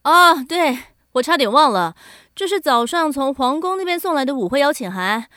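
A woman's voice: sits high, animated, moderate pace, sharp tone, no echo, clean studio sound. A woman playing a bossy, practical young eldest sister in a children's radio drama.

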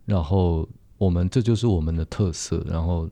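Man, very low dark voice, Taiwanese Mandarin accent, earnest casual chat, reflective. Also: normal speed, no echo.

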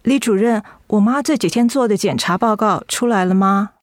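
An actress playing a caring, anxious young daughter in a radio drama, speaking earnestly and solicitously. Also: female voice, mid-range voice, normal speed, dry recording, studio quality, close to an everyday voice. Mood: shocked, anxious. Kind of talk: Mandarin radio drama acting.

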